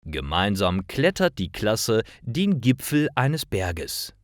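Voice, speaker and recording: Slow, low-voiced male storyteller. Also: very animated, no echo, clean studio sound. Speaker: narrator of stories for German learners. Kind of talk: scripted storytelling narration, read slowly for learners.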